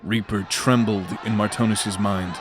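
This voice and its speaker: A man narrating a scripted fantasy audio drama in a measured, dramatic storytelling manner. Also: lowish voice, steady tone, soft background music.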